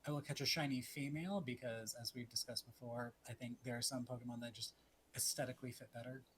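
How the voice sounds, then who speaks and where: A chatty young man in his twenties co-hosts a relaxed podcast. His voice sits at an ordinary middle pitch, and he laughs easily and wanders through fillers as he talks. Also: fast, a little hiss or hum. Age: 20s. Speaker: podcast co-host. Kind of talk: casual podcast chat.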